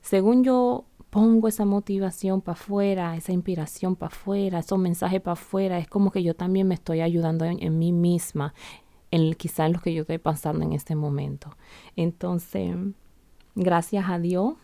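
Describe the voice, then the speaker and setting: A woman's voice: fairly low pitch, fairly even intonation, normal speed, vocal fry, dry recording. A middle-aged woman from the Bronx who speaks Spanish with a blended Dominican and Latin American accent, hosting a casual solo podcast and talking in a rambling way with many restarts. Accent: Dominican Spanish mixed with other Latin American accents. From United States (the Bronx). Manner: rambling, frequent restarts, softly spoken. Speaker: podcast host.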